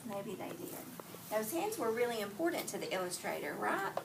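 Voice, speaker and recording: A woman's voice: medium pitch, big pitch swings, normal speed, gravelly. A woman teaching young children through an interactive read-aloud, prompting them with questions and repeating their answers back to affirm them. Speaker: early grades teacher reading aloud to a class. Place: classroom with children heard around. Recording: roomy, distant mic, noisy classroom.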